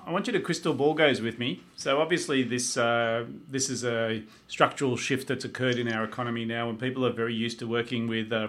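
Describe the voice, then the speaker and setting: A man's voice: medium pitch, a bit bright. A man hosting a webinar, talking in an informal, discursive and conversational way. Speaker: host of online panel.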